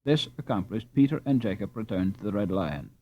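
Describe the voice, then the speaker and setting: A man narrating a storybook as an expressive audiobook reader. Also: lowish voice, brisk, lo-fi sound.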